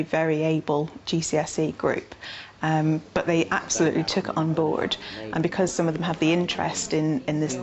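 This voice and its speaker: A woman's voice: deep voice, lo-fi sound. A woman explaining things informally in an interview, as a teacher. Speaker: English teacher.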